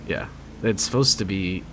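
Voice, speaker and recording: A man streaming a police roleplay, chatting casually and explaining procedure to his passenger, his voice starting to wear out. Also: deep voice. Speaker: streamer playing a police supervisor. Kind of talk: casual chat during roleplay patrol. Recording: noisy game engine sounds behind.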